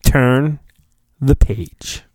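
A man hosting a podcast, giving a theatrical mock-dramatic reading of a storybook with drawn-out phrasing and goofy, rambling asides. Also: medium pitch, darkish tone, no echo.

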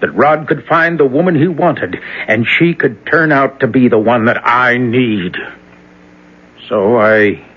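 A cold, brooding youth turned to the devil for an old radio horror play. His voice is breathy and husky, and his speech is slow and deliberate. The sound is dull and muffled, like an old broadcast. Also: mid-range voice. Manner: bitter, then chanting invocations. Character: young man possessed, cold devil worshipper. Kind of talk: 1970s radio drama acting.

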